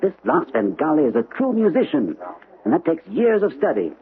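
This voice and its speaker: An opinionated man of the world, skeptical and emphatic, with a fairly high, lively voice. The 1970s radio drama sounds narrow and hissy. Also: fairly quick. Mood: doubting. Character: skeptical, worldly gentleman friend, frank and opinionated. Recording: noisy muffled vintage radio broadcast.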